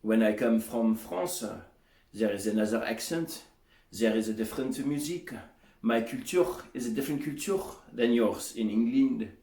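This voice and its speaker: A man teaching English pronunciation in a measured, explanatory way, sounding out examples aloud. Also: mid-range voice, expressive, dark tone, roomy sound. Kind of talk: solo teaching monologue.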